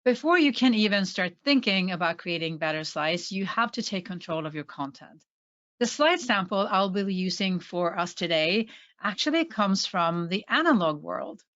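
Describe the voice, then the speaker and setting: A woman's voice: mid-range voice, expressive, moderate pace, fairly bright tone, highs cut off. A woman from Sweden with a Swedish accent, giving an enthusiastic, friendly webinar presentation as a trainer.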